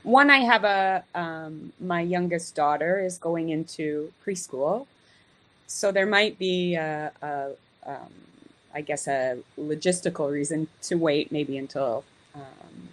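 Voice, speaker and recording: A woman on a video call, thinking aloud hesitantly about her business plans with many pauses and restarts. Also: deep voice. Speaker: guest being coached.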